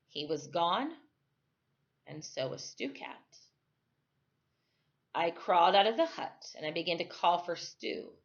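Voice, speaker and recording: A woman reading a children's novel aloud like a teacher at storytime, phrasing it expressively and deliberately. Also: fairly low pitch, gravelly, lo-fi sound.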